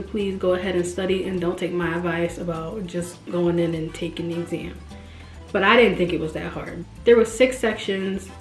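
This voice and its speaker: A woman in her twenties chatting casually and expressively to her viewers, jumping up in pitch when she stresses a point. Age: 20s. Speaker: vlogger. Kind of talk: casual direct-to-camera chat. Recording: roomy echo with faint music.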